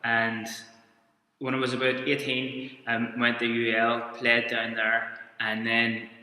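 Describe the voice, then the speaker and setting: A man in his thirties from Belfast with a Belfast accent, giving a coaching webinar in an informal, halting way with frequent restarts. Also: medium pitch, monotone, sharp tone. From Belfast, Northern Ireland. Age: 30s. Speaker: strength and conditioning coach. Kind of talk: webinar presentation. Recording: echoey room with long reverb.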